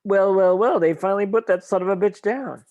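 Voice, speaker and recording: A man's voice: high voice, brisk, a little mellow. A man playing a coarse, gloating city character in an amateur audio drama, on a dull microphone.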